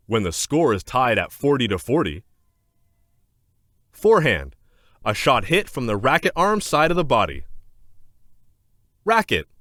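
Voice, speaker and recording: A man narrating a children's educational book aloud in a scripted, clear and deliberate instructional manner. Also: medium pitch, fairly bright tone, dry recording, studio quality.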